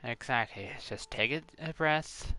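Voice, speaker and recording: A man's voice: medium pitch, measured pace, sharp tone. A man reacting casually and reassuringly as he plays through a story game. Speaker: game streamer.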